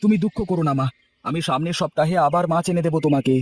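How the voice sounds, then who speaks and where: Fast-talking, put-upon son played by a man. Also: on the high side, natural voice. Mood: apologetic. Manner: grumbling, exasperated, pleading. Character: poor, put-upon grown son, street snack seller. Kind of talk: cartoon voice acting. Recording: faint music bed behind.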